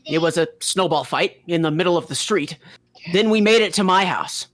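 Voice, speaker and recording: A man's voice: sits high, normal speed, fairly bright tone, no room sound. Guy reading out story dialogue and joking around, dry close sound, no room. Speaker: character reader in a group fan fiction reading.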